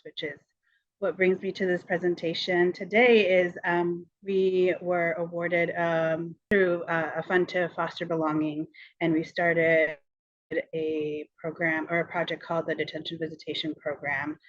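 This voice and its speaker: A woman's voice: on the low side, a bit bright. A woman from Guatemala giving an informal presentation as a nonprofit co-director, speaking reflectively and often restating her phrases. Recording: roomy, slightly dull sound.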